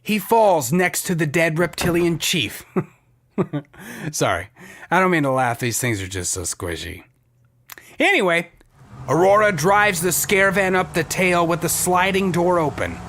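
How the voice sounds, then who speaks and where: A man's voice: high-pitched, animated, unhurried. A man narrating an audio adventure with dry asides. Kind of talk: scripted narration for an audio drama. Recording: loud music bed behind.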